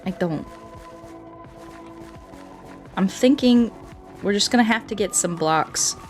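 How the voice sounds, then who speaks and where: A woman with a slightly low voice gives casual live game commentary. She is playful and scatterbrained, repeating words as she chats to her viewers, with music playing behind her. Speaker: video game streamer.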